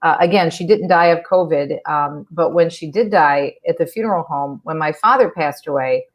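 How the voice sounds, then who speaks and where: A woman who grew up in Michigan speaks as a guest, telling long stories in a talkative, easy flow. Her voice sits very low for a woman. She has the practiced ease of someone who works on radio. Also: moderate pace, low-quality recording. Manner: talkative, storytelling, warm restarts. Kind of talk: casual interview chat.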